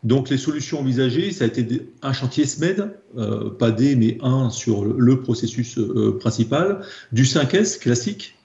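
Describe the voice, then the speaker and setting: Mellow Frenchman lecturing in an echoey room. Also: mid-range voice, expressive, moderate pace. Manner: hesitant, repeating words as he explains. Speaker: presenter, trainer in process improvement. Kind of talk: explanatory slide lecture. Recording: dull, echoey room recording.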